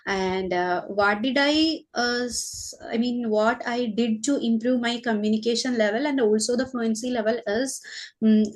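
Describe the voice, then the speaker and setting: A woman from Kerala with an Indian English accent, a school teacher talking casually in a podcast, pausing often with fillers to gather her thoughts. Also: fairly high pitch.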